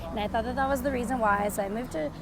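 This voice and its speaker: Young Canadian-raised woman, higher voice, rambling vlog chat, phone mic with street noise behind. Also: racing. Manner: chatty, rambling, filler-heavy. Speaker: vlogger. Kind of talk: casual life-update vlog. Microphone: phone camera.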